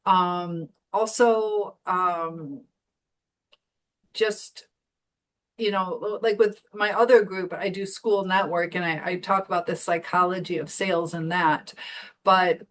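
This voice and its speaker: A woman's voice: lowish voice, steady tone, slow pace, fairly bright tone. A woman talking casually as an interview guest on a video call, chatty and full of fillers. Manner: chatty, many fillers and restarts. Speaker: community founder guest.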